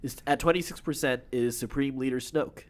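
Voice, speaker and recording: A man's voice: medium pitch, brisk. A man co-hosting a podcast, casually going over pop culture news and opinions. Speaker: podcast co-host.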